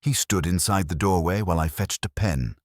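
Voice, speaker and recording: A man's voice: sits low, a little fast, no room sound, studio quality. A man voicing a fussy, dignified male cook and servant who speaks precisely and deferentially in an audiobook. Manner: precise, deferential, slightly affronted.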